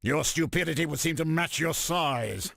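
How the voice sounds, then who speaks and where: An actor playing an arrogant armored supervillain tyrant in a video game, declaiming in a pompous, commanding, gravelly voice. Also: male voice, fairly high pitch, normal speed, fairly bright tone. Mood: scornful grandeur. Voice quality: gravelly, rasping. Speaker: video game villain character. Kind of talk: theatrical video game villain voice clips.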